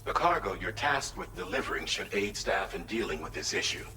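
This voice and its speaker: A man playing a composed mission coordinator in a video game, giving a formal, measured briefing. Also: low voice, sing-song, sharp tone, exaggerated voice. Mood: serious, businesslike. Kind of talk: scripted game dialogue, briefing. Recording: roomy echo, distant mic, faint noise.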